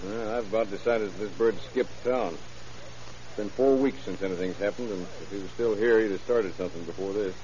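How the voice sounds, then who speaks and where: An actor playing a dogged, earnest police lieutenant with clipped cop slang in a vintage radio crime drama. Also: male voice, lowish voice, moderate pace, a little mellow, close to an everyday voice. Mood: earnest, determined. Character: dogged, hunch-driven male police lieutenant. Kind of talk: 1930s-40s crime radio drama acting. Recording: muffled old radio recording, faint hiss.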